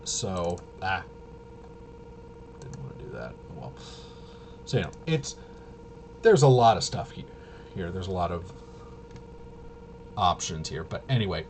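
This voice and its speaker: Low-voiced man rambling over background noise. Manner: hesitant, rambling, trails off and self-corrects. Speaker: tabletop game reviewer and presenter. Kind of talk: casual unscripted walkthrough. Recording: clearly noisy room ambience.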